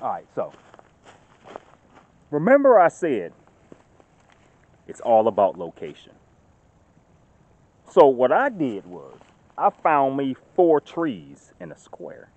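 Man, thirties, outdoor vlog, lively swooping voice, slow unhurried talk, dull lo-fi phone sound. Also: mid-range voice, dry recording. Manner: chatty, gets loud and excited showing off. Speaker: outdoors vlogger. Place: outdoors in woods. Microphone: phone camera held at arm's length.

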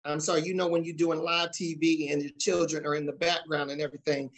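A man's voice: fairly high pitch, flat delivery, fast talker. Encouraging man hosting a talk show. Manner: encouraging, advice-giving. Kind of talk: casual talk show interviewing. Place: small room at home, indoors. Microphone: video call.

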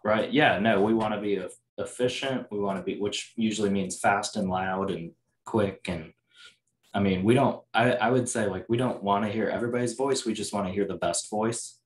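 A man's voice: fairly low pitch, moderate pace, reverberant. A young man hosting a podcast over a video call from home, wearing a headset and asking curious follow-up questions. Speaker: podcast host. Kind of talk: casual interview conversation. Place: home room with bare walls.

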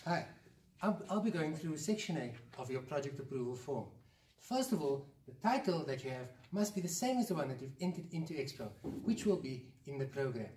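A man's voice: on the high side, lively intonation, brisk, noisy background. A young man explaining rules in a scripted way, recorded in a roomy space away from the microphone. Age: young adult. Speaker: science fair committee presenter. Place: classroom or lab with display boards, indoors. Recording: echoey room, distant camera microphone.

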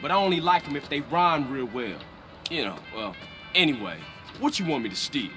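A man's voice: fairly high pitch, lively intonation, brisk, pushed and bright, faint music behind. A man playing a young, streetwise American gangster in a video game, slangy and offhand, loyal but wry and impatient. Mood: wry, reluctant. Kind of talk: video game cutscene acting.

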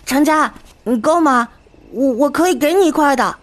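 A woman as a high-voiced stubborn little boy. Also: expressive, moderate pace. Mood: shy. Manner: childish, defiant. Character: spirited, stubborn little boy, cheeky younger brother. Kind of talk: audio comic voice acting.

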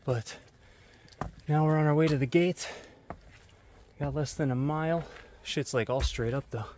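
A man in his thirties talking casually to his head-mounted camera as he hikes a dark mountain trail at night, rambling and swearing freely. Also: on the high side, a little slow, slight background noise. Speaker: vlogger. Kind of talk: casual vlog narration while hiking. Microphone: head-mounted action camera.

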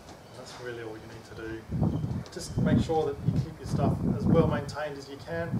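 Man, middle-aged, plain-spoken video host, outdoors on a distant camera mic, clear background noise. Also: mid-range voice. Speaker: host of a kayak fishing video channel. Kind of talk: explanatory gear talk to camera. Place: outdoors in a suburban backyard. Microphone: camera mic from a few metres. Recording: distant, echoey, noisy outdoor camera audio.

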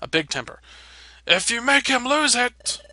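A man voicing a loud, bossy, impatient monster apprentice from a video game, bellowing his lines. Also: very high voice, very animated, unhurried, no echo, voice pushed up, exaggerated voice. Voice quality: loud, shouted. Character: loud, bossy male monster apprentice, barking wake-up calls.

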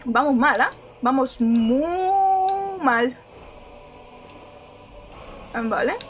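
A young woman with a Spanish accent with Mexican inflections, commentating casually on live gameplay and repeating phrases rapidly in an animated, slangy way. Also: high-pitched, a little hiss or hum. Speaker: game streamer.

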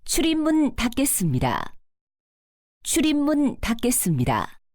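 Polite lady doing train announcements, kinda high voice, really lilting, formal. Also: huge pitch swings, measured pace, darkish tone, faint music behind. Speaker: subway announcer. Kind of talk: scripted transit announcement.

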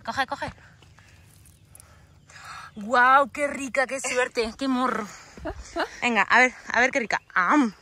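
A woman from Cartagena, Spain, chatting excitedly while she hikes up a mountain trail and repeats her words when something catches her eye. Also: high voice, slow pace, long pauses. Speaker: vlogger on a hike. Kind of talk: casual walking vlog. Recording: outdoor recording, faint background noise.

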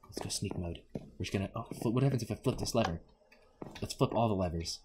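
A man giving casual, rambling playthrough commentary as a gaming host, jokey and excitable. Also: fairly low pitch, fast talker, noisy background.

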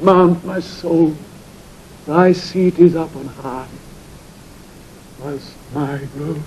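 A proud, anguished deposed king speaks in a British accent, fairly high and slow. His delivery is lyrical and declamatory, in classical Shakespearean style. The old drama recording carries some rumbling noise. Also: darkish tone, heavily acted, rough recording. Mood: dying, exalted. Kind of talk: classical Shakespearean radio acting.

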